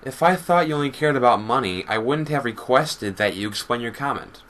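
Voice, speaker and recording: A man delivering scripted response commentary as a YouTuber, articulate and argumentative in a measured way. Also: mid-range voice, lively intonation, fairly bright tone.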